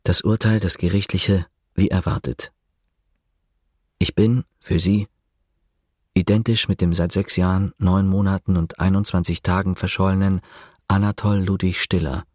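A man's voice: deep voice, no room sound, clean studio sound. An actor in a German radio play, playing a brooding, bitter prisoner who denies who he is. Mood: sober, bitter. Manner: measured, reflective narration breaking into furious shouting. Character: prisoner denying his identity, brooding, bitter, given to outbursts. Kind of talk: German radio play, first-person narration and acted dialogue.

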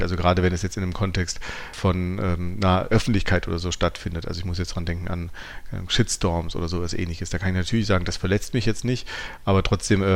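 A man hosting a podcast, talking reflectively and searching for his words as he thinks aloud. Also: deep voice. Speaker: podcast host. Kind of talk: reflective conversational discussion.

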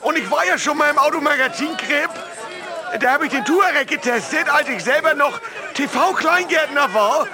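Very high-voiced dim ex-footballer. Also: male voice, moderate pace, crowd noise, noisy background. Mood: naive, chatty. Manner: naive, rambling, blunt. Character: simple-minded, blunt male ex-footballer celebrity. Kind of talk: comedy mockumentary acting.

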